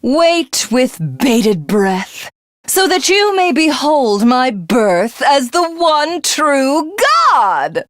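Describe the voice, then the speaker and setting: A voice actress playing a haughty, cruel would-be goddess, a grandiose and theatrical video game villain. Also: female voice, sits high, very animated, dry recording, heavily acted. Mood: smug, menacing. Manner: grandiose, slow, archaic, menacing delivery.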